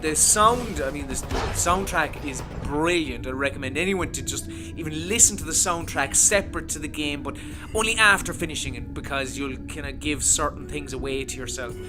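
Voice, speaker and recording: A man's voice: a little high, normal speed, hardly any pauses. A man giving a casual, rambling game review, with frequent restarts. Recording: music playing behind.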